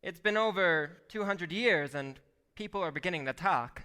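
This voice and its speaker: A man's voice: high-pitched, normal speed, pushed and bright, clean tone. A man playing an eager, polite and innocent apprentice angel in a radio play, with a fussy, earnest manner. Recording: echoey live hall taping.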